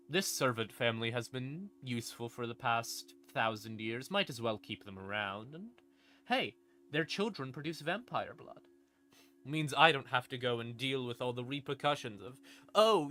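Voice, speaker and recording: A man explaining game lore on a casual livestream, conversational and playful, with dramatic pauses and asides. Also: mid-range voice, lively intonation, sharp tone. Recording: ambient music behind the voice. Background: creepy ambient music.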